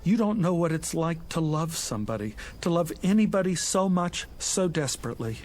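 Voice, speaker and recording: An actor playing a lovesick, desperate, impetuous suitor, eager and effusive, in a vintage television drama. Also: male voice, a little high, big pitch swings, normal speed, a little mellow, a little hiss or hum. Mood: pleading.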